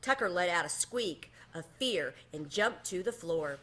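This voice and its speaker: A woman reading a children's story aloud in an expressive narrating style. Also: fairly low pitch, unhurried. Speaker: storybook reader.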